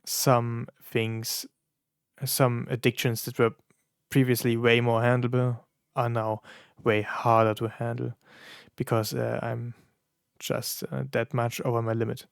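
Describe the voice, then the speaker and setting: Halting German man with gravelly voice. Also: mid-range voice, monotone, no echo, studio quality. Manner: halting, many fillers and restarts, searching for words. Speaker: content creator reacting to songs. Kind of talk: casual reaction commentary.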